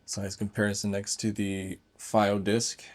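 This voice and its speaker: A man's voice: on the low side, monotone, a little slow. A man giving a casual, unscripted hands-on gadget review, thinking aloud in short fragments.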